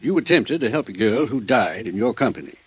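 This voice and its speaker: Husky male voice, middle-aged gruff lawyer, frank manner, vintage radio drama, dull recording. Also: mid-range voice, lively intonation, brisk, no room sound. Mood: businesslike. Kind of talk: 1950s radio drama acting.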